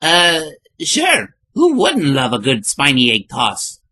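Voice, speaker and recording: A woman's voice: low voice, wildly swooping pitch, takes it slowly, pushed and bright, breathy edge, exaggerated voice. Woman playing a swooping, nervous cartoon hero guy. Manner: hesitant, exclamatory. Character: nervous, boastful, wisecracking male plumber hero. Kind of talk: comic book fan dub. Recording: music behind the voice.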